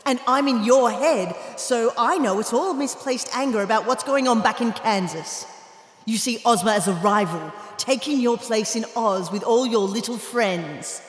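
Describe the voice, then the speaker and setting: A woman's voice: a little high, normal speed, natural voice. A woman playing a sardonic, lecturing witch in a fantasy audio drama, dry and taunting. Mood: stern lecturing. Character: sardonic, lecturing witch, a voice inside a girl's head. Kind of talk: full-cast fantasy audio drama acting. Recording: echoey reverberant voice, faint music.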